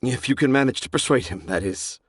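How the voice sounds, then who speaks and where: A man's voice: medium pitch, a little fast, slightly rough, no echo, studio quality, natural voice. An actor playing a self-deprecating, earnest father and storyteller in a video game, apologetic in manner. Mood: ashamed, apologetic. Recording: loud music behind the voice.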